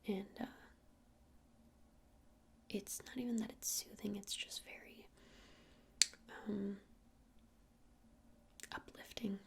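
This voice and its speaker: A woman playing a gentle crystal-shop witch in an ASMR roleplay, whispering breathily and explaining things unhurriedly with hesitant pauses. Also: mid-range voice, level tone. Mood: soothing, earnest. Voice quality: breathy whisper with creaky edges.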